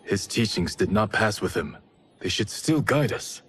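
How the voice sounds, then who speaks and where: A man playing a loyal younger ninja brother in a video game, earnest and pleading, with a husky voice. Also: fairly low pitch, lively intonation, unhurried, a bit bright, a little hiss or hum. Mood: earnest, urging.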